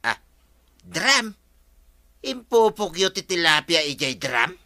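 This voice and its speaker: An actor playing a cheeky, teasing villager in a radio comedy drama. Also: male voice, a little high, big pitch swings, slow, sharp tone, no echo, studio quality, full character voice. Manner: teasing, laughing.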